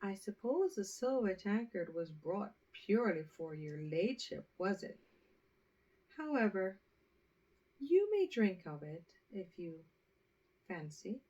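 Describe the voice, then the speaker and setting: Lady doing a stuck-up rude girl, slow sneery drawl, fairy tale. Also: mid-range voice, big pitch swings, mellow, muffled recording. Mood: sneering. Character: proud, saucy, rude young girl.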